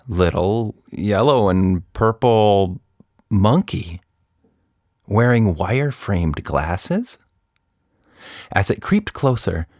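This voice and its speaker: A man narrating a scripted children's story as a podcast storyteller, deliberate and expressive. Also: fairly low pitch, dry recording, studio quality.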